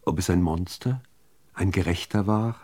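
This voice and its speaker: A man reciting literary poetry in a measured, deliberate manner with dramatic pauses between phrases. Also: low voice, darkish tone. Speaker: reader of poetry.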